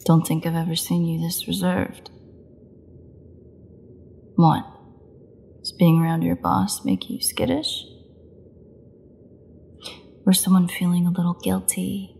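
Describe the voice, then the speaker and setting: Woman acting snarky vampire prisoner lady, lowish gravelly voice, slow bitter sarcasm, audio roleplay, bit of background noise. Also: monotone, mellow. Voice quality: gravelly, creaky voice. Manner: snarky, mocking, bitterly flippant. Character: defiant, sarcastic captive female vampire under interrogation. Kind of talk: dramatic audio roleplay monologue.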